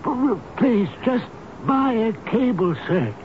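An actor playing a gentle, loyal old elevator operator in a radio drama, speaking in a halting, earnest, folksy and tender way. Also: male voice, very high-pitched, lively intonation, exaggerated voice. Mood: pleading, distressed. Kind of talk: 1970s radio drama acting. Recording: noisy muffled old radio broadcast recording.

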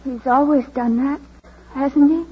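An actress in a vintage radio drama playing a gentle, self-doubting young woman with a hesitant, earnest way of talking. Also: high-pitched, fairly even intonation, a little slow, lo-fi sound. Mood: resigned, sad. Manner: soft-spoken, hesitant, earnest. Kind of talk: 1940s radio drama acting.

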